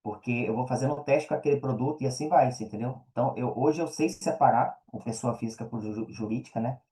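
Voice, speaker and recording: Male podcast guest, Brazilian accent, mellow mid-range voice, informal chat, frequent check-in tags. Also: medium pitch, fast. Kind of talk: casual interview chat.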